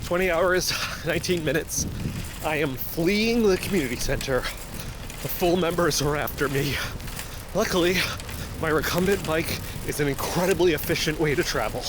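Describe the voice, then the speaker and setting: A man playing an anxious, paranoid fugitive podcaster in an audio drama, earnestly talking to himself in halting mutters. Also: fairly high pitch, background noise, natural voice. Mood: frantic, harried. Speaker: investigative podcast host in an audio fiction. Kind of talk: audio drama found-recording monologue.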